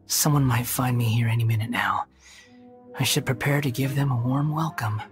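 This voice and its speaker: A man's voice: mid-range voice, steady tone, normal speed, lightly acted. Guy doing a bitter scornful young game villain, broody and proud, bit of music behind. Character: proud, bitter, sharp-tongued young male puppet. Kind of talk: video game voice acting. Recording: background music under the voice.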